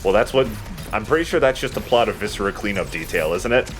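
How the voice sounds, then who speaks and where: A man's voice: fairly low pitch, expressive, fast, music in the background. A man giving casual, joking commentary while he plays a video game. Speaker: let's play host playing the game.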